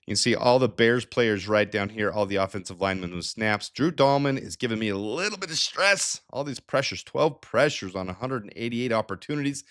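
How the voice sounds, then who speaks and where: Lowish-voiced man, nasal from a head cold. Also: a little fast, hardly any pauses. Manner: energetic, chatty, with frequent restarts and asides. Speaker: sports channel host. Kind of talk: casual stats analysis.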